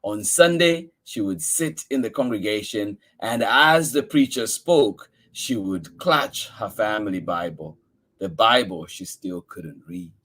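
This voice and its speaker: Male story-time host, unhurried delivery, reading a picture book with dramatic, expressive emphasis. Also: fairly low pitch. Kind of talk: expressive read-aloud storytelling.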